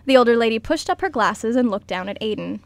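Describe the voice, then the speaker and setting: A woman's voice: fairly high pitch, animated, fast talker, vocal fry, no echo. A woman reading scripted narration for a radio play as its narrator.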